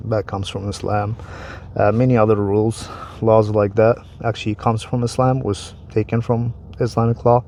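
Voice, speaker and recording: A man's voice: on the low side, flat delivery, normal speed, dark tone. Casual man. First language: Arabic. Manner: conversational, repeats words, restarts phrases. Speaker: vlogger explaining religion. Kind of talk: casual explanatory talk to camera.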